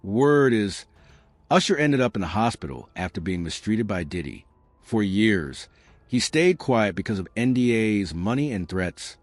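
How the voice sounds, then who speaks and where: Deep-voiced man narrating, animated delivery. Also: unhurried, no echo. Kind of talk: scripted gossip documentary narration. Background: music.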